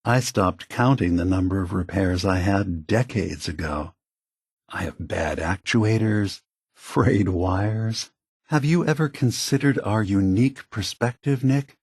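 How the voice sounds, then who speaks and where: Game role: weary old android leader, low and husky, gently persuasive, philosophical, measured delivery. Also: male voice, no room sound, studio quality, exaggerated voice. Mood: earnest, probing. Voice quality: soft, husky, breathy. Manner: measured, deliberate, philosophical, reassuring. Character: gentle, weary, aged male synth leader, softly persuasive. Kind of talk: video game voice acting.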